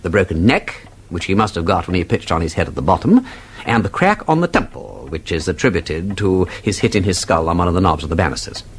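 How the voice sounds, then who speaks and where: Gentleman sleuth, upper-class English accent, very animated intonation, urbane, persistent, theatrical, radio drama. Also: deep voice, brisk, a little hiss or hum. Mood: keen, persistent. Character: witty, inquisitive gentleman sleuth, playful and persistent. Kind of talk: British radio drama acting.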